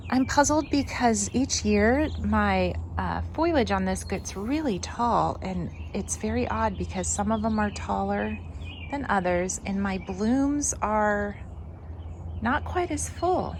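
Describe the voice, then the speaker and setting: A woman with a noticeably creaky, medium-pitched voice hosts a casual garden tour. She chats in a musing, unscripted way, with some ambient noise behind her on the recording. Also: slow. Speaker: gardening vlog host.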